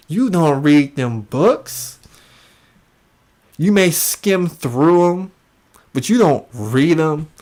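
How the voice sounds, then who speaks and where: A man's voice: high-pitched, darkish tone. A man hosting reaction commentary in a mocking manner, often repeating phrases for emphasis. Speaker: commentary host.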